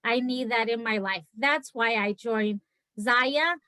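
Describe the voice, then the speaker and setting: A woman's voice: a little high, slow pace, bright, forceful tone, no echo, studio quality. A woman in her forties from Portugal with a Portuguese accent, chatting on a video call from a room at home and telling her story with many fillers and laughs. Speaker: guest speaker on a team video call. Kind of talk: casual personal story.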